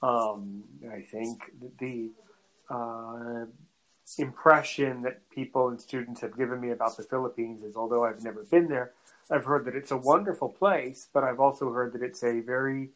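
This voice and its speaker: A man from North America hosting a podcast interview, speaking in a halting way and often repeating words before finishing a thought. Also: mid-range voice, fairly bright tone, low-quality recording. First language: English; French is his second language. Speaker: podcast host. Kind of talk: conversational interview.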